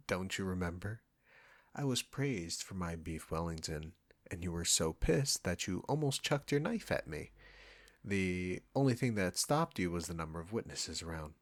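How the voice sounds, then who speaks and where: A man acts out a condescending male chef with a low voice. He is cocky and teasing, trading barbs with an old rival. The delivery is intimate audio roleplay, aimed straight at the listener. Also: animated, measured pace. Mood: smug teasing. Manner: smug, bantering, teasing.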